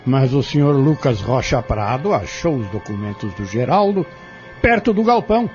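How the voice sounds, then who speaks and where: A man's voice: mid-range voice, sing-song, moderate pace, a little mellow, close to an everyday voice. A man playing a haughty accused man on trial in an audio drama, speaking formally and with indignation. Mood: defiant. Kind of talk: audio drama acting, courtroom scene. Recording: dull lo-fi audio with music underneath.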